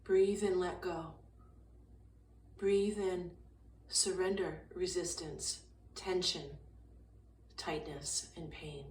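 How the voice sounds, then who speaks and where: A woman guiding a meditation in a calm, gentle, unhurried way, leaving long pauses between short phrases. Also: lowish voice. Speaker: meditation guide. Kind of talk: guided meditation, slow soothing instruction. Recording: roomy echo, distant mic, faint noise.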